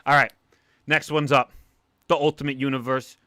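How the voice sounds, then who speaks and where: A young man with a New York accent, chatting excitably on a livestream while opening comic packs, with a cartoonish way of talking. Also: medium pitch, moderate pace, clear, resonant tone, no echo, studio quality. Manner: excitable, animated, talks like a cartoon character. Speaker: comic collector streamer. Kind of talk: casual livestream chat.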